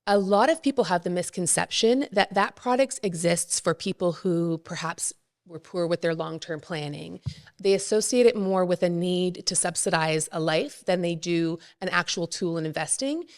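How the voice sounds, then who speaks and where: Woman, lower voice, podcast guest, plain explainer, casual fillers. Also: moderate pace, darkish tone. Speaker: mortgage expert guest.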